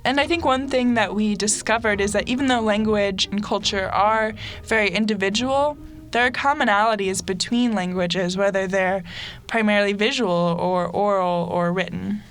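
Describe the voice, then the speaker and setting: A woman's voice: mid-range voice, fairly even intonation, moderate pace. A woman co-hosting a podcast, narrating and reflecting on what the hosts learned from their interviews. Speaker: podcast co-host.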